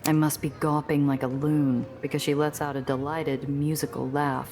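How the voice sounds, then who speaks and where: A woman's voice: deep voice, little pitch movement, normal speed, a little mellow, a little hiss or hum. An actress playing a wry, streetwise woman protagonist in a narrative video game, with a dry delivery. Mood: observant, wry.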